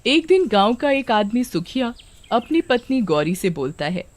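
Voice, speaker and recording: A woman's voice: a little high, big pitch swings, moderate pace. A woman reading scripted narration for a children's story.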